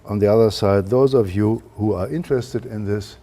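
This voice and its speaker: German-accented male lecturer, mellow and low. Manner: careful, halting delivery with frequent uh fillers. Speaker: university lecturer. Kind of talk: academic lecture.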